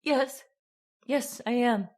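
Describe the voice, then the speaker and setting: A woman's voice: fairly high pitch, takes it slowly, a little mellow. A woman playing a frightened, haunted young woman in an old-time radio horror drama, tense and dramatic. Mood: shaken.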